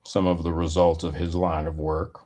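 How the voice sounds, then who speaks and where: A man giving a rambling personal opinion monologue in a deliberate, halting manner with wordy, formal phrasing. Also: deep voice, flat delivery, mellow.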